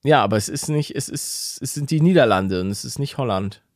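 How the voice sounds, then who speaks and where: A man's voice: medium pitch, expressive, fairly quick, a little mellow, no room sound. Man chatting casually, dry close sound. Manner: joking banter in colloquial slang. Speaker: podcast co-host. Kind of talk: casual chat.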